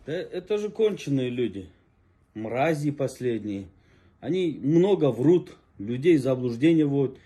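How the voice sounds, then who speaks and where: A man's voice: medium pitch, animated, a little slow, mellow, breathy edge. A man in his thirties acting in a comedy sketch, pushy and nagging. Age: 30s.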